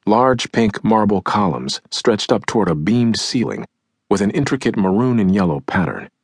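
A man narrating a nonfiction audiobook in a measured, deliberate, dramatic way, with a husky voice, recorded close in a dry studio. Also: on the low side, expressive, dry recording. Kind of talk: scripted nonfiction audiobook narration, acting out quotes.

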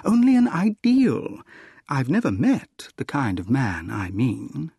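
A man voicing a proud, composed young woman in a storybook, gently reproving as she turns down a suitor. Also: medium pitch, sing-song, slow, vocal fry, slightly rough, no echo, studio quality, full character voice. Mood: teasing.

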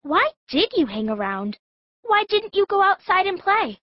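Eager little girl in an audiobook, super high bouncy voice, bit dull recording. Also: sing-song, unhurried, no room sound, heavily acted. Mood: curious. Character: eager ten-year-old girl, curious and hopeful. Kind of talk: full-cast audiobook acting.

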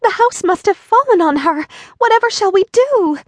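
A woman's voice: very high voice, big pitch swings, moderate pace, dry recording, voice pushed up. A woman voicing an innocent, earnest young girl in a storybook reading. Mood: dismayed. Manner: earnest, easily alarmed.

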